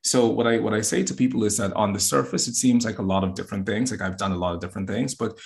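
A man's voice: fairly low pitch, steady tone, fast talker, gravelly, a little mellow. A man from the Bahamas, a guest astrologer and yoga teacher, talking earnestly in a casual interview and often restarting his words.

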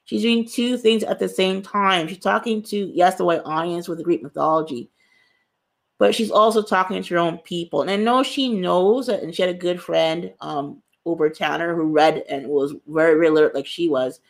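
Gravelly-voiced earnest woman author. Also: lowish voice, moderate pace. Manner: earnest, emphatic, repeats phrases for stress. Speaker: author, guest. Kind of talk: reflective interview answer.

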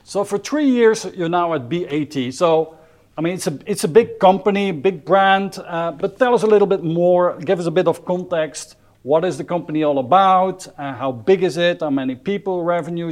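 A man with a fairly high voice speaks as the host of a business interview. He is polite and inquiring, laying out context and prompting his guest in plain, conversational phrasing. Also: normal speed, a little mellow.

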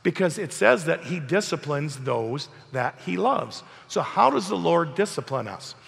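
Hoarse pastor guy preaching hard, gravelly voice, big echoey church. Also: fairly high pitch, big pitch swings, a little slow, a bit bright. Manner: emphatic, asks the congregation questions. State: hoarse from a cold. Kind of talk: sermon. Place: church hall.

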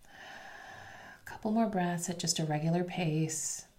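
A woman's voice: lowish voice, takes it slowly, a little mellow. A woman with a slightly congested voice, hosting in an unscripted, instructive way with guided breathing and casual personal talk. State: slightly congested voice after illness. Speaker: podcast host and meditation guide.

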